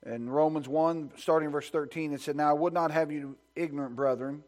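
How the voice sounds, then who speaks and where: A man's voice: steady tone, fairly quick. Baptist-style preaching man at a pulpit. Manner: builds to loud, high-pitched preaching fervor; soft, hushed praying voice. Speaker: pastor. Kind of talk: sermon with scripture reading and prayer. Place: church sanctuary.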